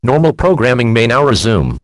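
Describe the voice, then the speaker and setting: A man's voice: mid-range voice, big pitch swings, fairly quick, no room sound, natural voice. A man playing a stern, impersonal emergency alert announcer, reading a formal scripted broadcast. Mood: formal, official.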